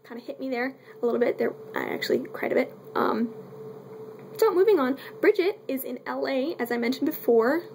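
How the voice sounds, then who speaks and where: A woman's voice: high voice, a little mellow, a little hiss or hum. A woman giving a casual, unscripted book review in a candid, irreverent way with wry asides and mild swearing.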